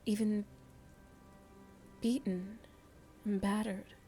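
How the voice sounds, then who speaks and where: A woman voicing a seductive, motherly vampire in an ASMR roleplay, soft and breathy, often whispering as she muses intimately to the listener. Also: mid-range voice, level tone, faint music behind. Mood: musing, intimate. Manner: slow, deliberate, musing, addresses listener tenderly. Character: seductive motherly female vampire, worldly, philosophical, gently teasing.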